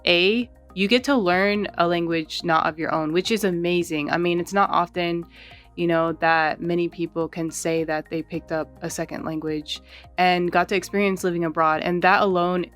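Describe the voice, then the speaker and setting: A woman chatting casually while she draws, relaxed and rambling, often saying "like" and "you know". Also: lowish voice, moderate pace, soft background music. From United States. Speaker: art channel host. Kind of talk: casual chat while drawing.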